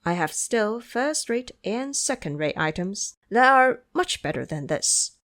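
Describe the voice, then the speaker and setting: Lady doing a humble holy monk for an audio drama, polite, measured, kinda preachy. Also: medium pitch, sing-song, fairly bright tone. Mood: measured, reciting. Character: humble, calm, pious male monk.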